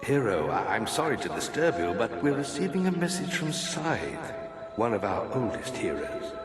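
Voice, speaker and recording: A man's voice: mid-range voice, sing-song, normal speed, close to an everyday voice. Echoey, anxious guild messenger played by a man. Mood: apologetic, worried. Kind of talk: fantasy game voice acting. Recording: roomy echo under loud music.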